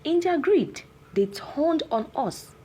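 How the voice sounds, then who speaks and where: A woman's voice: high-pitched, expressive, slow pace, mellow, a little hiss or hum, pitched above the performer's own voice. A woman voicing an anguished, vengeful cursed village man in a folktale, grave, dramatic and pleading. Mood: sorrowful, bitter.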